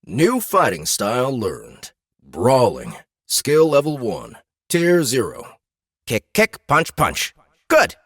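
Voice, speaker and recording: A man's voice: mid-range voice, huge pitch swings, slow pace, a bit bright, breathy edge, dry recording, studio quality, pitched above the performer's own voice, full character voice. A man voicing a sarcastic, mock-enthusiastic game system that announces notifications in a fantasy audiobook. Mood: announcing.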